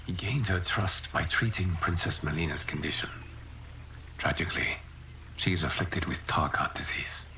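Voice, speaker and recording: A man voicing a calm, grave male warrior protector who speaks in a measured, solemn way. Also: low voice, slight background noise. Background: music.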